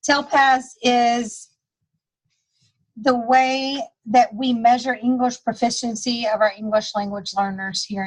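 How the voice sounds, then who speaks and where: A woman's voice: on the high side, rough recording. A woman giving a recorded staff training talk, casual, with dry humor and many fillers. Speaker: school testing coordinator.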